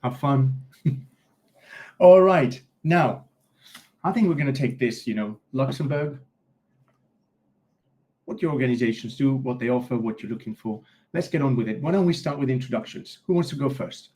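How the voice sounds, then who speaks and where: A man with a mellow, warm tone moderates a webinar panel. He keeps it relaxed and informal, passing the word to the panelists. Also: mid-range voice, normal speed, pauses often.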